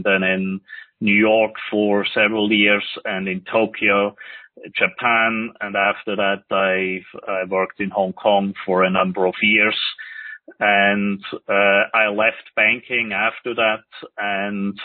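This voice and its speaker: A middle-aged man from Switzerland with a Swiss accent, a podcast guest who speaks in measured phrases with many pauses. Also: on the low side, no echo. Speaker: guest, real estate lender. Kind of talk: podcast interview. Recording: dry, tinny phone-like line.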